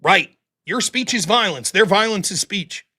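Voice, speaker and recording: A man hosting a livestream, reading out viewer donations and reacting, with a high-pitched voice and heavy breathing, profane and prone to yelling. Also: a little slow. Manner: animated, profane, yells about politics. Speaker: livestream host reading super chats. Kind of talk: casual livestream chat.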